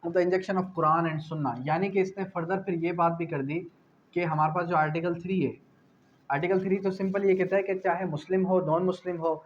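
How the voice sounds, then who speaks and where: A man's voice: sits high, steady tone, normal speed. A man with a Pakistani accent giving an explanatory law lecture, repeating key phrases and answering his own questions in a didactic way. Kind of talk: explanatory exam-prep lecture, switching between reading legal clauses and explaining. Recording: dull lo-fi audio with faint noise.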